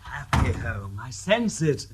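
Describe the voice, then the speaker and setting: An actor playing a mysterious male clairvoyant in a radio drama, speaking in hushed, breathy tones with long pauses. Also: mid-range voice, sing-song, background noise.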